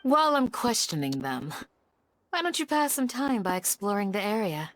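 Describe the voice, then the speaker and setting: A woman's voice: mid-range voice, big pitch swings, normal speed, fairly bright tone, slight background noise. A woman playing a poised, formidable warrior guardian in a video game, composed and formal with a commanding manner. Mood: composed, courteous.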